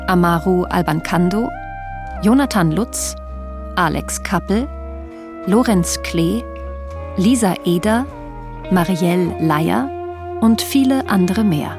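A woman radio announcer formally reading scripted closing credits in a measured, neutral way. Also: lowish voice, expressive. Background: music.

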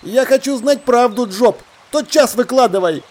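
A man's voice: extremely high pitch, normal speed, darkish tone, pitched above the performer's own voice. A man voicing a simple, honest sailor in an audiobook, anxious and insistent as he questions his shipmates. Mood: insistent, suspicious. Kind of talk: acted audiobook dialogue.

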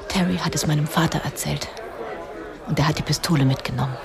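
A woman playing a self-possessed, sharp-tongued society wife in a radio drama, controlled and pointed. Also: low-pitched, fairly even intonation, fast talker, vocal fry, a crowd in the background. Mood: curt, composed. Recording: music behind the voice.

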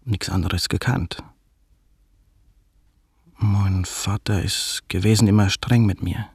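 A hesitant butcher's son in a radio play, speaking. The low voice is very husky and creaky, close and dry, as it reminisces haltingly. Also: male voice, fairly even intonation, a little mellow, no echo, heavily acted. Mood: hesitant, reflective. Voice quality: husky, gravelly. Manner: halting, low-key reminiscing with inverted word order. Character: butcher's son, obedient, hesitant, recalling his past. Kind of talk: radio drama monologue.

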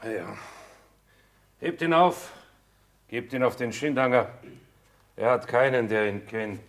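A cold military interrogator played by a man with a medium-pitched voice. He is officious and probing, giving commands in stage drama fashion. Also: animated, unhurried, natural voice. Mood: coldly probing. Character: cold, officious male army sergeant interrogating.

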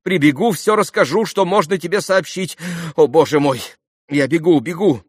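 Man voicing a high-pitched, gushing society lady. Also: normal speed, breathy edge, no echo, studio quality. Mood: flustered, pleading. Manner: effusive, breathless repetition, melodramatic. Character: gushing, excitable society lady, Liza's fussing mother. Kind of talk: audiobook classic novel dialogue.

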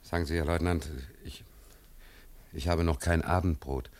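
Schauspieler als tiefer, treuherzig unverfrorener deutscher Häftling. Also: male voice, low-pitched, steady tone, normal speed, darkish tone, lightly acted. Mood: dry. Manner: naive, deferential, drily humorous. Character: German drifter, condemned prisoner, guileless and cheekily unruffled. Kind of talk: radio play acting.

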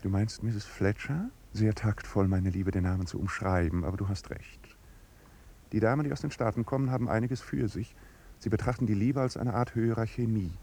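An actor playing an ironic, haughty duke with polished, dry courtesy in a 1950s German radio play. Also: male voice, on the low side, fairly even intonation, fairly quick, mellow, husky. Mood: mocking courtesy. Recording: 1950s radio recording, faint noise.

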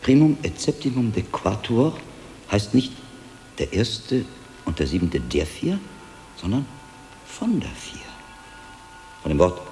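Gravelly, deep-voiced shrewd monk. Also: male voice, mellow, heavily acted. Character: shrewd, commanding middle-aged monk detective, mentor to a novice. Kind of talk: German radio drama acting.

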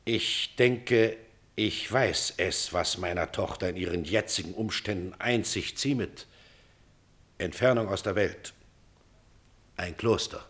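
A proud older father in a classical radio drama. His low voice moves very slowly and gravely, with formal phrasing. Anguish sits under a bitterly ironic restraint. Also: fairly bright tone. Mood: restrained, grave.

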